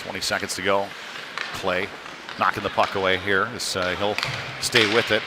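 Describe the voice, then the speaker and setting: A man doing loose, conversational live hockey play-by-play in a halting, casual way. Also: sits low, flat delivery. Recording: noisy rink ambience, live stream.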